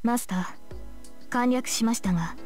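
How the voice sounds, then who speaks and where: Woman acting formal, quick-spoken female servant knight. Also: on the high side, darkish tone, no echo, soft background music, natural voice. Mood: composed, formal. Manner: polite, formal. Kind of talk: Japanese visual novel voice acting.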